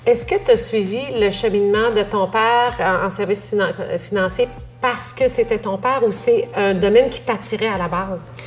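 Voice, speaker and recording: A woman's voice: medium pitch, fairly even intonation, normal speed, soft background music. A woman talk show host with a Québécois French accent, interviewing a guest and summarizing what the guest says before she asks her questions. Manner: attentive, summarizing and prompting.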